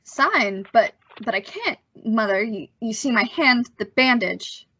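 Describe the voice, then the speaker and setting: Woman playing a bright-voiced, stammering teenage boy. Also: a little high, expressive, measured pace, slightly rough, no echo, muffled recording, full character voice. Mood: nervous, hesitant. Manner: stammering, hesitant, boyish. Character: bashful, evasive returned teenage boy, gushing gee-whiz politeness. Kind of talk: old-time radio drama acting.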